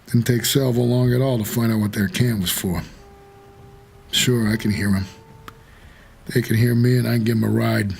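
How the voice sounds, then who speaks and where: An actor playing a doomed, haunted taxi driver for the dead, narrating an audio drama in a world-weary, measured noir manner. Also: male voice, mid-range voice, fairly even intonation, creaky, a little mellow, close to an everyday voice. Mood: brooding.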